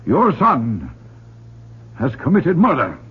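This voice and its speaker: An actor playing a stern, zealous reverend in an old-time radio drama, grave, deliberate and commanding in delivery. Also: male voice, medium pitch, wildly swooping pitch, slightly rough, heavily acted. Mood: grave, insistent. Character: stern, zealous reverend confronting a murder suspect. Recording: dull, muffled old radio broadcast.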